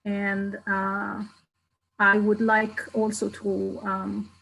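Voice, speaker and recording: Woman, panel moderator, gravelly fry, faint background noise, hesitant. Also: medium pitch, monotone, extremely slow, a bit bright, poor audio. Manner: hesitant, many fillers, trailing off. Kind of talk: panel discussion over video call.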